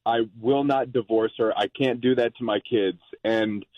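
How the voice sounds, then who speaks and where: A man talking in a phone conversation in a halting way, often repeating his words. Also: mid-range voice, fairly even intonation, dry recording, dull audio. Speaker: prank call target.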